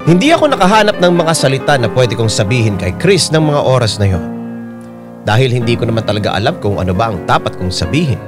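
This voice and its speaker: Expressive male narrator over loud piano music. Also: medium pitch, fast talker. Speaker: radio drama narrator reading a listener's letter. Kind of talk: dramatic scripted letter narration. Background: prominent piano music.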